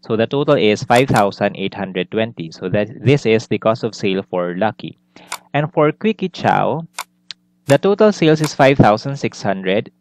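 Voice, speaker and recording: A man's voice: mid-range voice, dry recording. Methodical man teaching step by step. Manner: methodical, repeats and restates points. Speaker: accounting instructor. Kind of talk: step-by-step recorded lesson, explanatory. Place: indoors, screen-recorded lesson voice-over.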